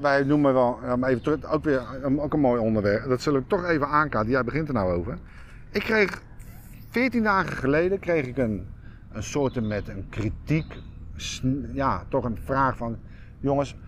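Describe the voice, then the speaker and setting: A man's voice: medium pitch, expressive, moderate pace, slight background noise. A man with a Netherlands Dutch accent co-hosting a podcast in casual chat, emphatic and often repeating himself. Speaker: podcast co-host.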